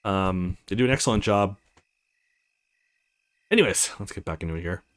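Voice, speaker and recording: A man's voice: low voice, lively intonation, normal speed, fairly bright tone, dry recording. A man hosting a let's play, chatting casually in rambling asides as he comments on a game. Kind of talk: casual gaming commentary and reading aloud a visual novel.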